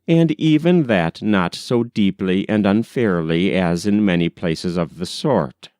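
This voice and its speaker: A man reading a novel aloud as an audiobook, in an expressive, measured storytelling manner on a close mic. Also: fairly low pitch, no room sound. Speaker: audiobook reader. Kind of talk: audiobook narration.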